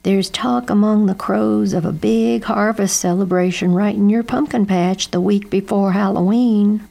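A woman's voice: medium pitch, steady tone, unhurried, creaky, mellow, pitched above the performer's own voice. A woman voicing a resigned mother scarecrow who sighs as she talks. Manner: sighing, resigned.

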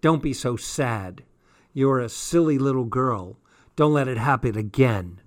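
A man's voice: medium pitch, measured pace, dry recording, clean studio sound, lightly acted. A man voicing a haughty teenage girl, a jealous rival in an audiobook, cold and condescending. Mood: coldly accusing.

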